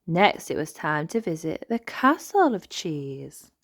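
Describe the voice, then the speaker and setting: A woman reading a children's storybook aloud in an expressive narrating style. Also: low-pitched, takes it slowly. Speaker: storybook reader.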